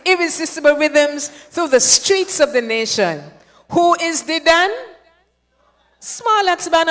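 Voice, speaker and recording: A woman's voice: sits high, a bit bright. A woman hosting a live awards show, playful and chatty, asking the audience questions. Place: large hall. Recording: echoey hall with long reverb.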